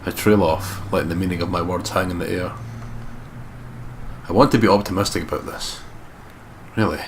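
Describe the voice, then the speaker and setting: A man reading the part of a guarded, determined investigator narrating a horror visual novel in a measured, serious way. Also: sits low, fairly even intonation. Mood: brooding, serious. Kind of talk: dramatic scripted narration, visual novel read-aloud. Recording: clearly noisy home recording.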